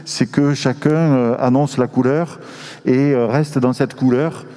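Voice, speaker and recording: A man moderating a panel discussion with measured, formal and courteous phrasing. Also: mid-range voice, fairly even intonation, warm tone. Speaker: forum moderator. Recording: reverberant hall sound.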